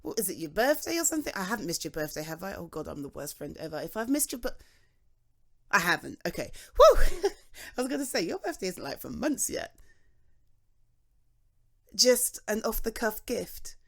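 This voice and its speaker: A young woman with a British accent chats softly in an ASMR roleplay, reassuring a friend who feels guilty about treating themselves. Her voice moves lively up and down, and small laughs come often between her sentences. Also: medium pitch, normal speed, creaky, long pauses. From Britain. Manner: chatty and reassuring, with frequent soft laughs.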